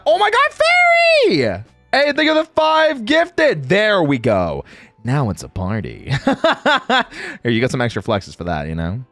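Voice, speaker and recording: A man's voice: on the high side, dry recording. A man hosting a casual livestream, chatting playfully with viewers, teasing and breaking into exclamations. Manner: exclamatory, animated reactions. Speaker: streamer and host; game voice actor.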